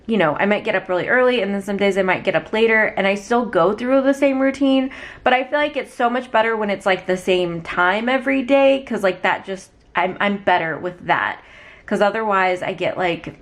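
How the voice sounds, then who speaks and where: A young woman talks in a casual vlog style, with a medium-pitched voice that carries a slight rasp. She rambles conversationally, circling back over her thoughts as if chatting to friends. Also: fairly quick. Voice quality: slightly raspy. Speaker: small business owner vlogger.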